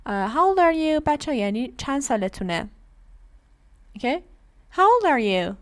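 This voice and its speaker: A woman's voice: sits high, very animated, fast talker. A woman with an Iranian accent, a native Persian speaker, teaching beginners in an instructive, emphatic way with repeated phrases. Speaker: English teacher. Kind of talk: classroom lesson for beginners, switching between English and Persian.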